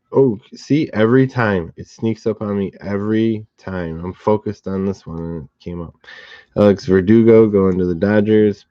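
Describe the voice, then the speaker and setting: A slightly hoarse man hosting a card box break, calmly listing each card and who it goes to. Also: low-pitched, dry recording, dull audio. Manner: steady, unhurried listing of cards and recipients. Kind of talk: relaxed live box-break commentary.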